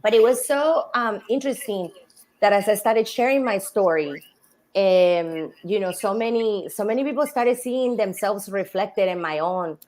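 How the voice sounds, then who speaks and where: A woman's voice: medium pitch, normal speed, rough recording. Chatty woman on a live stream. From Colombia. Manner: warm, chatty, many "you know" fillers. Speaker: city council candidate hosting a live stream. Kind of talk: casual live-stream conversation.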